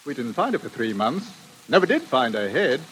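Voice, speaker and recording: An actor playing a chatty, boastful police inspector with morbid tastes in a 1940s radio thriller. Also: male voice, medium pitch, sing-song, a little fast, fairly bright tone, poor audio. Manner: chatty, hesitant, gloating. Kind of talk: 1940s radio suspense acting. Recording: hissy vintage radio recording.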